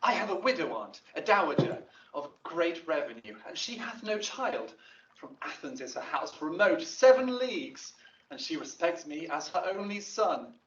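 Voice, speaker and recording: A man's voice: fairly high pitch, big pitch swings, normal speed, fairly bright tone, echoey room, far from the mic, dull audio, slight background noise. An actor playing an ardent young male lover in a Shakespeare audio drama, speaking verse persuasively and theatrically.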